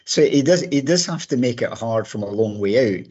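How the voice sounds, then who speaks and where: Slightly hoarse older man. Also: medium pitch, expressive, moderate pace, a little mellow, dull audio. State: a bit hoarse. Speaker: podcast co-host. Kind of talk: casual sports commentary chat.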